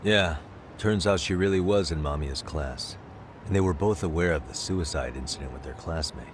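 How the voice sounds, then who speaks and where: An actor playing a cool, persistent private detective in an English-dubbed game drama, questioning in a deliberate way. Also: male voice, very deep voice, background noise. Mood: casual, curious.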